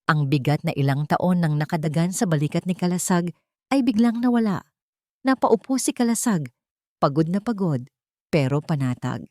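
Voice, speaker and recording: A woman narrates a dramatic audiobook story in a scripted, storytelling delivery. Her voice sits low for a woman. The recording is very dry and close, with no room sound. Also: animated, moderate pace, darkish tone, dry recording.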